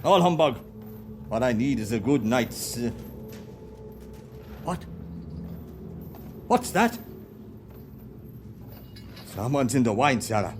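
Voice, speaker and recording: A man's voice: mid-range voice, big pitch swings, slow, pauses often, a little hiss or hum. A man with an Irish accent playing a stubborn, easily frightened old miser in a radio play, stammering and blustering.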